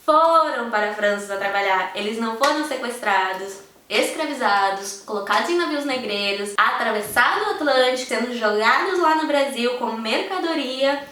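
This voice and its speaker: A young woman with a Brazilian Portuguese accent speaks frankly and with passion, her voice set a little high. She sits a bit back from the mic in a strongly echoing room. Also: moderate pace, bright, forceful tone, recorded from a distance. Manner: frank, impassioned, speaks straight to viewers. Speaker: vlogger. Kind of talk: candid personal vlog.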